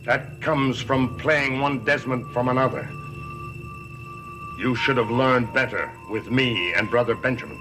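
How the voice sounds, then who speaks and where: An actor playing a menacing, imperious emissary of a dark master, speaking with theatrical, accusing deliberation in a gothic TV soap. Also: male voice, mid-range voice, a little slow, natural voice. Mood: cold, accusing. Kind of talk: gothic TV soap opera acting. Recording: old TV soundtrack with loud music behind.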